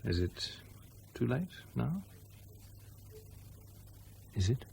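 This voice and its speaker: An actor playing a devoted, resolute father with gentle humour in a radio drama. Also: medium pitch, very animated, very slow, creaky, a little hiss or hum, full character voice. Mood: subdued, resolute.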